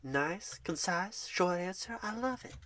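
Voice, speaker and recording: Folksy ASMR recruiter, mid-pitched and sweet, calls everyone darling, with faint typing under the voice. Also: expressive, unhurried, slight background noise. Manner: drops to soft whispers at times. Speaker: job recruiter in a roleplay. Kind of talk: ASMR roleplay phone screen. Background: keyboard typing.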